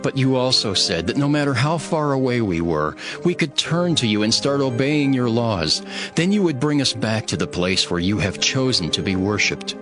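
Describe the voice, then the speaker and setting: A man playing a devout, sorrowful Hebrew cupbearer who earnestly narrates his own story in a dramatized audio Bible. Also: on the low side. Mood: pleading, reverent. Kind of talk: dramatized first-person scripture narration. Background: background music.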